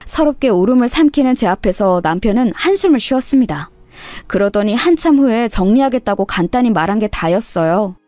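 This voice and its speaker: A woman's voice: on the high side, expressive, fairly quick, dark tone, no echo, soft background music. A woman narrating a scripted first-person story in a dramatic storytelling style.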